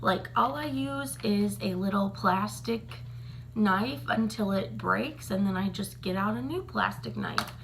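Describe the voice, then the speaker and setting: Chatty slow-talking woman, husky. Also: mid-range voice, noisy background. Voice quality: slightly raspy, morning voice. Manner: chatty, rambling, many ums and likes. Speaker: vlogger. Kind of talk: casual chat to camera.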